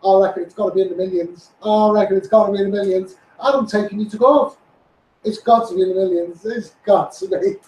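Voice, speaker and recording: High-pitched Scouse man, echoey and distant. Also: quick pace, a little mellow, a little hiss or hum. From Liverpool area, England. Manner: insistent, repetitive, addressing viewers directly. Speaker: online campaigner livestreaming. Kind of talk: impassioned rambling livestream rant. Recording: echoey room, distant microphone.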